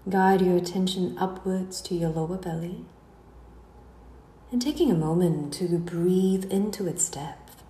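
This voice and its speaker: Mellow, low-voiced woman guiding relaxation. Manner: unhurried, soothing, with trailing phrase endings. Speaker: guided meditation instructor. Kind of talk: slow guided relaxation narration. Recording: roomy echo, faint background noise.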